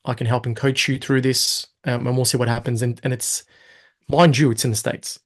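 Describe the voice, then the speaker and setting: A man in his thirties chats as an interview guest, his voice sitting at an everyday middle pitch. He tells his story with energy, slipping into quick acted-out asides of what he thought at the time. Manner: animated storyteller, quotes himself in lively asides. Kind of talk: casual interview talk.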